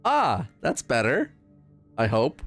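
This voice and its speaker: A man's voice: mid-range voice, huge pitch swings, slow pace, a bit bright, faint music behind. A man playing a tabletop game, chatting casually in a playful, jokey way and swearing freely. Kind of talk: casual excited game chat.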